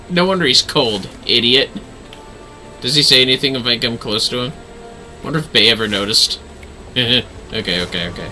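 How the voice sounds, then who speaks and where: A man giving casual livestream game commentary in an animated way, often talking to himself. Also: mid-range voice, rough recording. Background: music.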